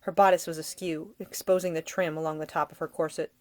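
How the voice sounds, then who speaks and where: A woman reading a novel aloud as its author, in expressive scripted narration. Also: low voice, brisk. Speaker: author reading own audiobook.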